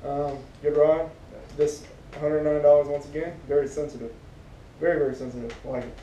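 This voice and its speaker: A man's voice: fairly even intonation, a little fast, mellow. A young American man in casual review chat as a co-host, picked up by a camera across a living room. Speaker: co-host of fishing gear reviews. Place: living room at home, indoors. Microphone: camera a few metres away. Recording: distant roomy camera audio, rumbly background noise.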